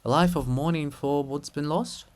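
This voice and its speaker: A man voicing an anguished old woman, the statue of a queen, in a slow, lamenting dramatic reading. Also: on the high side, darkish tone, close to an everyday voice. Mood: anguished dread. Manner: slow, mournful, lamenting delivery. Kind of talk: dramatic reading of a stage play.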